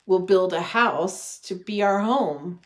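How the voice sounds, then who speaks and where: A woman in her thirties reading a children's storybook aloud with gentle, deliberate phrasing. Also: lowish voice. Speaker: religious education director reading a children's story. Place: living room at home.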